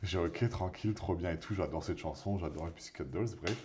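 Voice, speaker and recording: A man's voice: sits low, a little fast. A man in his thirties co-hosting a podcast, chatting casually and telling jokey stories while painting. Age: 30s. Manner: lively, jokey, animated storytelling. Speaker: podcast co-host.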